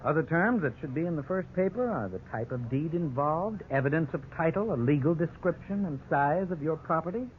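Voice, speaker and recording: A formal, frank male lawyer, played by a radio actor, explaining with lively, wide intonation. It is 1950s radio drama style, heard on a tinny, faintly noisy broadcast. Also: on the high side, a little fast, hardly any pauses, close to an everyday voice. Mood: explanatory. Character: measured, frank, authoritative male lawyer advising clients.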